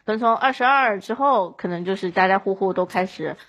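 A woman from Chongqing, China, chatting casually as a podcast guest in an animated storytelling way. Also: medium pitch, brisk, muffled recording, slight background noise.

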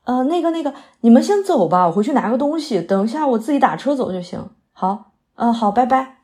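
一位女演员在广播剧里饰演刚下戏、略显疲惫的戏曲女演员，语气客气口语化，偶尔迟疑。. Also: female voice, on the high side, animated, fairly quick, a little mellow, natural voice. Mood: apologetic, curious. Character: polite, weary female opera performer after a late show. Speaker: stage actress in an audio drama. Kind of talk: naturalistic modern audio drama dialogue.

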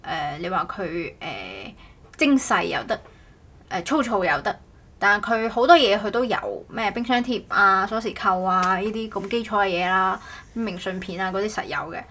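Bright-voiced woman hosting. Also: medium pitch, lively intonation. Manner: halting, repeats words, self-correcting. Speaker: podcast host. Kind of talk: casual co-hosted podcast chat.

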